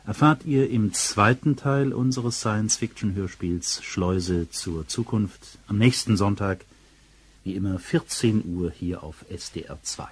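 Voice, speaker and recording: A man with a husky voice reading a scripted announcement as a radio drama announcer. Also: lowish voice, a little slow.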